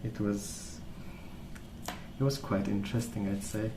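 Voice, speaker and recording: A man speaking softly as an ASMR host, rambling through stories in a hesitant, pausing, unhurried way. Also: lowish voice, steady tone, background noise. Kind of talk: soft-spoken ASMR rambling.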